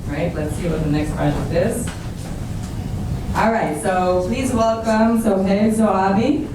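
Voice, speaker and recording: A woman hosting a pitch event who moves things along with brisk, upbeat transitions. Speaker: event host. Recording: echoey room, far mic, loud noise.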